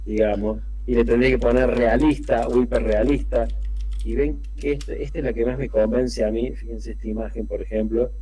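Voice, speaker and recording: Man, halting online presenter; dark and a bit husky. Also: medium pitch, little pitch movement, rough recording. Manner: halting, many fillers and restarts. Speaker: guest speaker on AI tools. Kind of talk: online talk demoing tools on screen.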